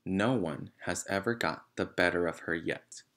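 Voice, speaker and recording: A man voicing a gentle but firm female schoolteacher from a storybook, polite, measured and earnest. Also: low voice. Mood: cautioning.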